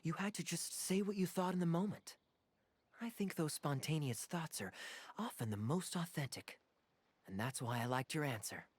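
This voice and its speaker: An actor playing a suave young male adventurer in a video game, with a smooth, teasing, theatrical delivery. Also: on the high side, expressive, moderate pace, no room sound. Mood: warm, sincere.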